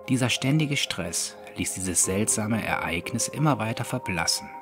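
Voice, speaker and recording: A man with a fairly deep voice reads a scripted horror story in the style of an audiobook. He works as a narrator, delivering prepared prose. Music plays faintly behind his voice. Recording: background music under the voice.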